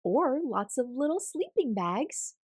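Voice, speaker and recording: A kindly old storybook grandmother, read by a woman. She is calm and encouraging as she instructs, with a lilting, expressive melody. The recording is close and dry. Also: high-pitched, slow, slightly rough, dry recording, voice pushed up, heavily acted. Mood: gently amused. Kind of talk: children's storybook character reading.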